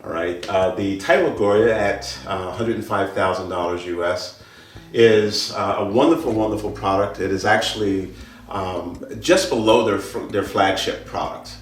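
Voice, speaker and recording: A man giving an informal product presentation as a dealer, speaking in a deliberate, halting way with repeated phrases. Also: lowish voice. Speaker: audio dealer presenting loudspeakers. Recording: roomy, distant mic, faint music behind.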